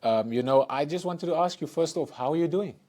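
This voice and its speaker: A man hosting a podcast interview, speaking softly and casually, often saying "you know". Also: fairly high pitch, brisk. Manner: soft-spoken, leans on "you know". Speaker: podcast host.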